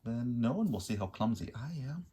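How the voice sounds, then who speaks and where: A man voicing a young female bat raised among birds, eager and wide-eyed, in a raised squeaky voice. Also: big pitch swings, a little fast, dark tone, slight background noise, lightly acted. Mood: determined.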